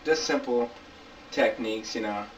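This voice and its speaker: A man's voice: medium pitch, normal speed, over loud music. A man hosting an informal hands-on gear tutorial, explaining step by step in colloquial language and repeating key points. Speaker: tutorial host. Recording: distant mic, dull lo-fi camcorder sound.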